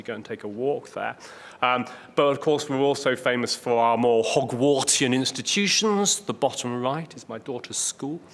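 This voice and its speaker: A man's voice: medium pitch, a bit bright. Wry man speaking in an echoey hall. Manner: hesitant, wry, understated. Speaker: keynote speaker, medical oncologist. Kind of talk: informal conference keynote with dry humour. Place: large conference hall. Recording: echoey hall with long reverb.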